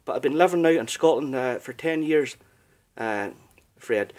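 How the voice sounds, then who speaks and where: A man's voice: medium pitch, animated, moderate pace, a little mellow. A man from Northern Ireland with a Northern Irish accent, chatting politely as an amateur radio operator, full of compliments. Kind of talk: casual ham radio contact.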